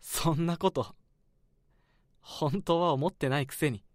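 A man's voice: fairly high pitch, unhurried, a little hiss or hum, natural voice. A man playing a cold, sullen young junior employee who harbors murderous intent in a radio drama. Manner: cold, sullen, quietly defiant.

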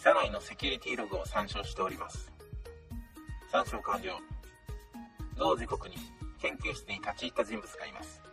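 A man playing a polite, calm AI smart speaker in a radio drama, speaking in formal, mechanical announcements with robotic processing. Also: on the low side, moderate pace, creaky, long pauses, far from the mic, music in the background, exaggerated voice. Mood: formal, dutiful.

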